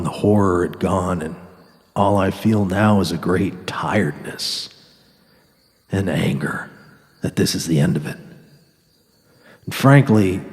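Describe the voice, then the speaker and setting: A man's voice: deep voice, big-room sound. A man playing a weary, sardonic dying writer on safari in a dramatic literary reading. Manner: sardonic, resigned, quarrelsome.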